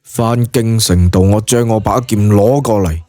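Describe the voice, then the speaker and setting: A man's voice: on the low side, unhurried, dark tone, no room sound, close to an everyday voice. A man voicing a decisive, somewhat weary male hero in Cantonese, plain-spoken and terse, in an audio drama. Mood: calm, commanding.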